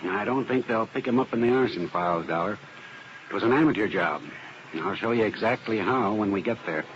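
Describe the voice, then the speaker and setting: Actor as plain-spoken arson expert, noisy old radio. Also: male voice, lowish voice, a little fast, natural voice. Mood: assured explaining. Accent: American. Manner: explanatory, plain-spoken, assured. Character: earnest, methodical male arson specialist briefing an investigator. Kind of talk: 1950s radio drama acting. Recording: dull old radio recording with heavy noise.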